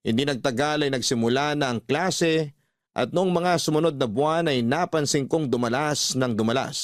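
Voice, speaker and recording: A man with a Filipino accent narrating a first-person radio story in a calm, measured way. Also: medium pitch, dry recording, studio quality.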